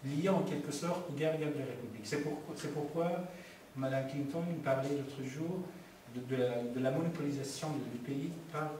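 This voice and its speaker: A man's voice: fairly high pitch, normal speed, darkish tone. Hesitant Iranian man in echoey hall. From Iran. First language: Persian. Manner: hesitant, many restarts and fillers. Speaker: speaker at a film debate. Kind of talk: halting public talk, answering questions. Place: community meeting hall. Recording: very echoey hall, far from mic, faint noise.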